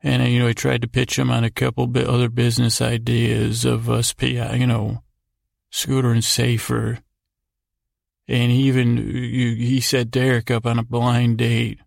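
Male bedtime podcast host: heavy vocal fry, narrow pitch movement, halting and digressive delivery. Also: completely flat delivery, no echo, studio quality. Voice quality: heavily creaky, gravelly. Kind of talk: rambling bedtime podcast monologue.

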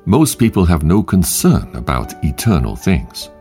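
A man hosting a devotional show, reading short quotes in a measured, emphatic way with long pauses. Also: low voice, sing-song, dark tone, faint music behind. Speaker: podcast host and devotional reader.

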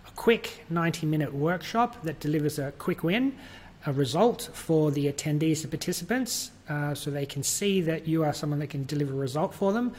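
A man with an Australian accent talks through his work as an interview guest, pausing often on small fillers and laughing lightly. His voice sits fairly high for a man. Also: lively intonation, darkish tone, breathy edge. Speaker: guest, virtual events business owner. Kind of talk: conversational interview.

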